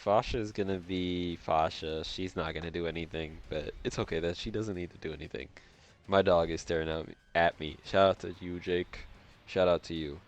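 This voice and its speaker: A man's voice: deep voice, fairly even intonation, normal speed. A man with a stuffed-up, congested nose giving casual gameplay commentary, slangy and repeating phrases to himself. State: nasally congested, breathing through mouth. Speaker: gaming video host.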